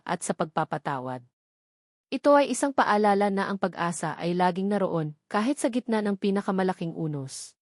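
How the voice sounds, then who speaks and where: A woman reading scripted audio drama narration in a measured, earnest storytelling manner. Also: medium pitch, a little mellow, no echo, clean studio sound.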